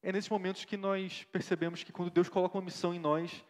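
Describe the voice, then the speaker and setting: A man acting as an earnest young missionary pastor with a fairly high voice, recalling his mission in a reflective, devoted way and often checking in with his listener. His voice rings with the long reverb of a church hall. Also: level tone, fast talker, lightly acted. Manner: earnest, reflective storytelling with frequent tag questions. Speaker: interviewee in a church play. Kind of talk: staged interview, church theatre.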